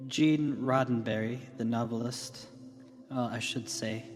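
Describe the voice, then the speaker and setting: Male actor as visionary founder, radio drama keynote, slow, grandiose, confident, dramatic pauses, big echoing hall. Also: mid-range voice, fairly even intonation, lightly acted. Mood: grand, visionary. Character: confident visionary tech company founder giving keynote speech. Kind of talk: theatrical keynote address. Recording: long hall reverb with music behind.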